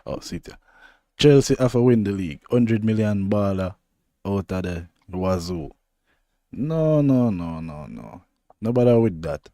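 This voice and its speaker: A young Jamaican man talks football in a loose, easygoing patois, drifting from one point to the next. His voice sits low and mellow, recorded dry and close with no room around it. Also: animated, measured pace, no room sound. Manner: laid-back, rambling, loose patois phrasing. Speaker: podcast co-host. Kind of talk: casual football chat.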